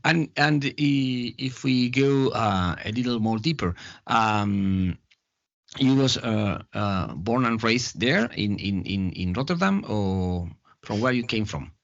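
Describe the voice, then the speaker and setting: Male host, halting. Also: fairly low pitch, animated, dry recording, highs cut off. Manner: halting, searching for words. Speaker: podcast host, artistic director. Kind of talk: casual interview conversation.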